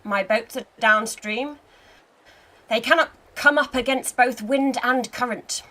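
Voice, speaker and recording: A seasoned military commander in a radio drama. The voice is fairly high for a woman, with measured, theatrical authority and a wry edge. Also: sharp tone. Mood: patient, firm. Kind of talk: radio play acting.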